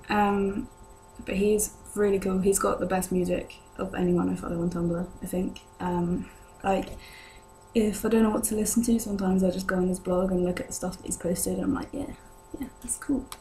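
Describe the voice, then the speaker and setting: A woman's voice: mid-range voice, level tone, normal speed, warm tone. A young British woman with a sore throat chats casually and hesitantly to camera, rambling as she goes, in a vlogger's manner. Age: young adult. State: ill with a sore throat, voice lower than usual. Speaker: vlogger answering viewer questions. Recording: noisy home recording with hum.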